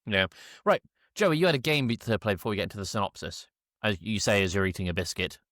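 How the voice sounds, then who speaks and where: A man's voice: lowish voice, quick pace, fairly bright tone, no room sound, studio quality. A British man chatting casually as a podcast co-host, wry and blunt, hedging as he goes. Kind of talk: casual chat.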